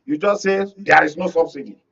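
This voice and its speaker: A man's voice: on the high side, brisk, creaky, a bit bright. Distant-sounding Nigerian man, emphatic political analyst. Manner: emphatic, repetitive, rhetorical. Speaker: media analyst, studio guest. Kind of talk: political commentary in discussion. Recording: dull lo-fi audio, distant mic.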